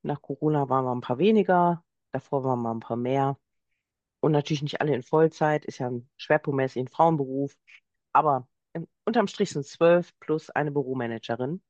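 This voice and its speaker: A woman's voice: a bass voice, brisk, darkish tone, no echo, highs cut off. A woman in her fifties from Germany, a guest on a podcast, telling at length about her work as a therapist and practice owner. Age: 50s. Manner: chatty, explains at length. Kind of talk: podcast interview.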